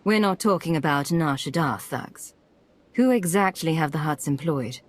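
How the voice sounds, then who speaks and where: Female Imperial agent: slightly low, controlled, commanding game dialogue, unflappable. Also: lively intonation, moderate pace. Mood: cool. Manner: controlled, authoritative. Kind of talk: video game dialogue acting.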